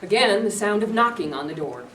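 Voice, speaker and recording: A woman's voice: mid-range voice, expressive, a little fast, fairly bright tone. Woman reading stage cues, matter-of-fact, echoey room, lots of noise behind. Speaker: narrator reading stage directions. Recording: echoey room, read from far off, noisy.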